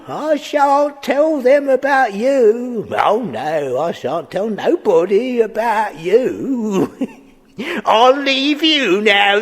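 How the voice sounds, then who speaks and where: An actor playing a slow-witted, gloating henchman with uneducated speech in a children's audio drama. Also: extremely high pitch, exaggerated voice. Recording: echoey hall reverb.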